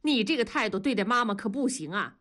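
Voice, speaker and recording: A woman's voice: on the high side, expressive, quick pace, a bit bright. A woman voicing a defensive, exasperated husband and father who reasons testily in an audio drama. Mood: reasoning. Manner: reasoning, then testy.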